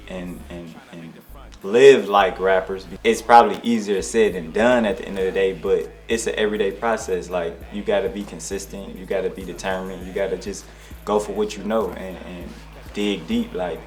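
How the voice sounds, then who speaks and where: A young man from Detroit, a rapper being interviewed, talking in a relaxed, rambling way with slang and restarts. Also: sits low, moderate pace. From Detroit, USA. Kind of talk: casual interview.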